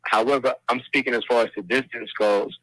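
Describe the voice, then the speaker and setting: A man in his forties, a podcast guest, speaking in a measured, earnest way with religious turns of phrase. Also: mid-range voice, steady tone, fairly bright tone, no echo, rough recording. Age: 40s. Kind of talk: casual podcast chat.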